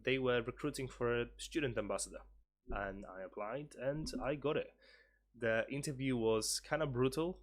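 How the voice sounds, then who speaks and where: Earnest young man with a low voice. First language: Polish. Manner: earnest, upbeat, with fillers and restarts. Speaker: vlogger, university student. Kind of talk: casual talk to camera.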